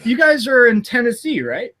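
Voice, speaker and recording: A man's voice: extremely high pitch, big pitch swings, moderate pace, pushed and bright. A Canadian man hosting a podcast over a video call, laughing often and sometimes mumbling and restarting his words. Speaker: podcast host.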